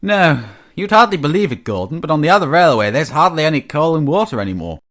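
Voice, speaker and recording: Storybook express engine, male, friendly, proud, emphatic, animated, read by a man. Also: fairly high pitch, fast talker, pitched above the performer's own voice. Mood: chatty, proud.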